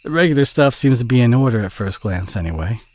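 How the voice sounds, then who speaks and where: A man playing a friendly, modest country boy with a Southern US accent, chatty and gently teasing in an intimate audio roleplay. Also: medium pitch, lively intonation, quick pace, a little hiss or hum, natural voice. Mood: easygoing, curious. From southern United States.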